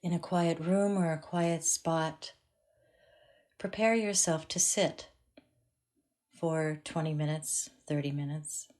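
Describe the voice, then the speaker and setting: A woman teaching a guided meditation in a calm, measured way with long pauses. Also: deep voice.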